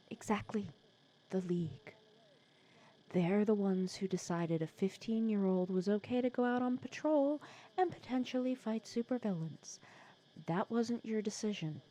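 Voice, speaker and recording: A woman playing a wry, protective supervillain nemesis who talks softly and teasingly in a comforting ASMR roleplay audio drama. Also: mid-range voice, poor audio. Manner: soft-spoken, teasing, conversational with hesitations.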